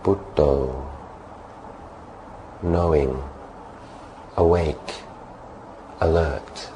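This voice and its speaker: A man calmly leading a guided meditation as a monk, giving gentle, unhurried instructions. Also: extremely low pitch, slight background noise, low-quality recording.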